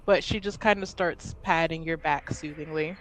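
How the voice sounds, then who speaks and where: A woman roleplaying in a tabletop game, speaking earnestly and deliberately, with narrated asides. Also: sits low, rough recording.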